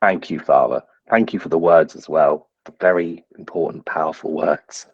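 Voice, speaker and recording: A man's voice: very deep voice, steady tone, normal speed, husky, lo-fi sound, exaggerated voice. A man playing an eager, devout young squire in a tabletop game, earnest and repeating himself. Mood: reverent.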